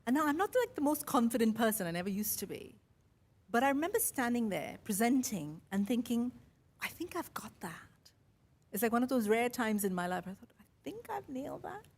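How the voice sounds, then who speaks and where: A woman's voice: fairly high pitch, brisk. Chatty woman with an Indian accent. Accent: Indian English, Mumbai and Kerala with London influence. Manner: chatty, animated, quotes her own thoughts. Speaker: podcast host. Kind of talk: casual personal storytelling.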